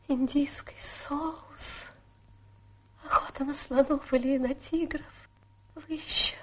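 An actress playing a self-pitying adventuress who poses as a tragic fallen lady in a radio play, melodramatic, plaintive and coquettish. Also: high voice. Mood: dreamy, coquettish. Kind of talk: theatrical radio play acting.

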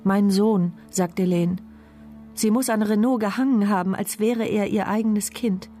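A woman's voice: mid-range voice, steady tone, measured pace, mellow, faint music behind, lightly acted. An actress playing a determined, watchful, wry paralysed woman who narrates her silent thoughts in a radio drama. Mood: sombre. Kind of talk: radio drama inner monologue.

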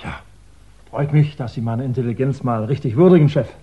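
A man's voice: medium pitch, a little fast, breathy edge, lightly acted. An actor playing a cheeky, self-mocking male police sergeant in a 1960s German radio crime play. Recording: dull 1960s radio recording.

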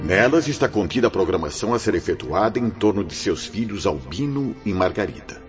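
A lowish, animated Brazilian male voice as a benevolent, dignified spirit guide in a radio play. His delivery is formal, courteous and declamatory, with expressive swings in pitch. Music runs under a slightly dull recording. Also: a little fast. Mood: solemn, benevolent. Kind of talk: radio drama acting. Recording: dull lo-fi radio drama audio. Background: music behind the voice.

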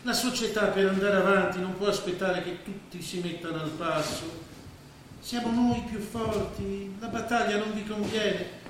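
Man acting cynical bossy speculator in a play, condescending, highish voice, echoey hall. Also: fairly even intonation, normal speed, lightly acted. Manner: smooth-talking, condescending, persuasive. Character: cynical, domineering businessman speculator. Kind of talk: theatrical Italian stage acting. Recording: echoey stage hall, faint noise.

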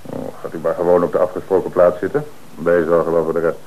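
An actor playing a police inspector who questions a suspect in a measured, dryly ironic way in a radio play. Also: male voice, sits low, level tone, muffled recording, a little hiss or hum, natural voice. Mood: brisk. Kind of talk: radio drama acting.

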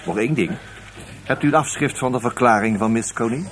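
An actor playing a wry, sarcastic, restless police detective in a radio drama, muttering hesitantly and breaking into exclamations. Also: male voice, medium pitch, fairly quick, fairly bright tone, natural voice. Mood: probing. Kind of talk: Dutch radio drama acting. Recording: noisy old radio drama recording.

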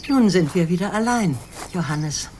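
An actress plays an elderly grandmother in a children's radio drama. She is a down-to-earth woman with a dry, matter-of-fact, gently chiding way of speaking. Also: on the low side, expressive, normal speed, slight background noise, natural voice. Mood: calm, fond. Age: older. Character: down-to-earth old grandmother, mildly scolding. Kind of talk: children's radio play acting.

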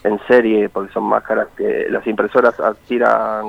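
A man with a Buenos Aires accent is interviewed over a thin phone line. His voice is low and gravelly. He explains things informally and reflectively, often checking for agreement. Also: little pitch movement, moderate pace, no echo. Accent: Buenos Aires Argentine. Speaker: interviewee, training centre director. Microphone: phone call.

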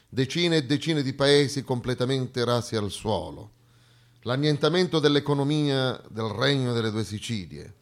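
A man performing a rhetorical stage monologue, emphatic and swinging between hushed and loud delivery. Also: medium pitch. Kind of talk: theatrical monologue on history.

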